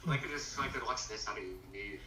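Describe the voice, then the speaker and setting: A young man in casual, halting chat as a guest on a friend's voice-call live stream. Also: lowish voice, sharp tone. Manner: rambling, halting, restarts phrases. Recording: echoey room, far from the mic.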